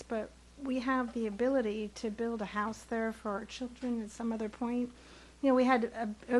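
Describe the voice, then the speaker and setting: A woman's voice: a little high, poor audio. A woman resident earnestly explains her concerns to a town board at a public meeting. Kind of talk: public comment. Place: town hall meeting room.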